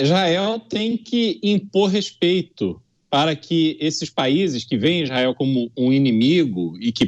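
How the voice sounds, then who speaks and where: A Brazilian man with a notably high voice works as a political commentator. He lays out his opinions in an emphatic, deliberate way, as on a news debate show. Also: muffled recording.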